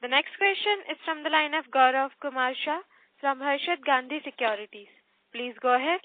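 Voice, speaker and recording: A woman's voice: high voice, normal speed, no echo. A woman makes formal operator announcements. She is heard on a dry, narrow phone line. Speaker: conference call operator.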